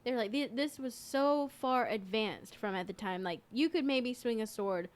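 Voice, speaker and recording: A woman's voice: a little high, normal speed. A woman co-hosting a podcast, explaining things in an earnest, informal storytelling way. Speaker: podcast co-host. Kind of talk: casual conversational explaining.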